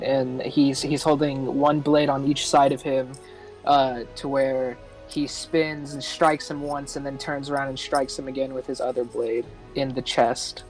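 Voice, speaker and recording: A man's voice: medium pitch, a little mellow, low-quality recording. A man playing an online tabletop game, thinking aloud hesitantly as he casually describes his character's moves. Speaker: player in a tabletop roleplay game. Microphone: online voice call.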